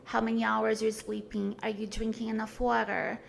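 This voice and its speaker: Gravelly woman in her forties. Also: fairly high pitch, level tone, moderate pace, slight background noise. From Brazil. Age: 40s. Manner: earnest, frank, with many fillers and restarts. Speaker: podcast guest. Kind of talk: casual conversation.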